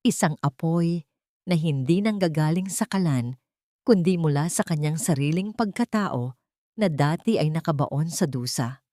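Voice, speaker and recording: A woman's voice: low voice, animated, a little slow, warm tone, dry recording, clean studio sound. A woman reading a scripted dramatic story as an audiobook narrator.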